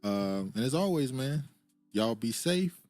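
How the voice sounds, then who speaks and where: A man co-hosting a podcast in a laid-back casual chat, agreeing easily and signing off warmly. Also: mid-range voice, expressive, unhurried, soft background music. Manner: laid-back, affirming, signs off with blessings. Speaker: podcast co-host.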